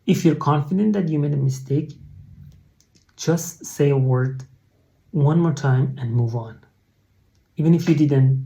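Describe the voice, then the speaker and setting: A man with a Persian accent gives an online test-prep lesson in English, his second language. His voice is mellow and dark, at a middle pitch. He explains step by step, with plenty of fillers and restarts along the way.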